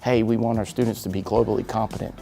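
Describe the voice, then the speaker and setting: A man with a gravelly voice explains things in an interview. His tone is dark and mellow, and his pitch sits low. Soft music plays behind him. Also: steady tone, fast talker. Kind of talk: interview soundbite. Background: music bed.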